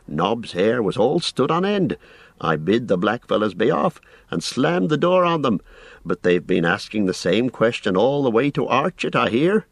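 A man's voice: medium pitch, big pitch swings, normal speed, husky, no echo, pitched above the performer's own voice. A man voicing a fussy, kindly, rustic innkeeper who chatters and exclaims in an audiobook. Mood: agitated. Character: fussy, flustered, kindly fat male innkeeper. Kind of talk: audiobook character voice.

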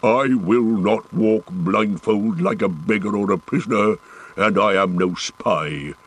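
A stubborn dwarf warrior speaks in a low, husky growl, as a male audiobook narrator puts him on. He is gruff and defiant, slow and weighty in his words. The storybook voice is deep and rough-edged. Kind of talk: audiobook character voice.

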